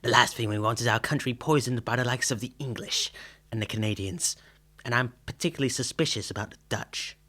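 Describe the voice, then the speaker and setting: A man's voice: medium pitch, fairly quick, pushed and bright. A man playing a fussy, bigoted, pompous tailor in a western radio serial, with exaggerated, effusive delivery. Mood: blustering, dismissive.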